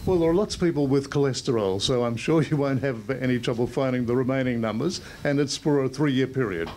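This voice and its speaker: A man's voice: medium pitch, brisk, darkish tone. A man working as a news reporter, interviewing people on location. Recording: slight background location noise.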